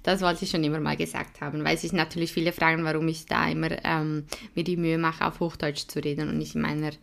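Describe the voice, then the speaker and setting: A woman's voice: sits low, level tone, fast talker, vocal fry, fairly bright tone. Chatty young woman hosting a podcast. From Switzerland. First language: Swiss German. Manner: chatty, laughs often, many fillers. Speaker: podcast host. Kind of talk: casual podcast chat.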